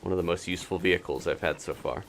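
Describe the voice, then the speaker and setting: A man's voice: low voice, fast talker, a little hiss or hum. A man giving casual live gameplay commentary, playfully exclaiming and talking to himself as he plays. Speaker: video game streamer and host.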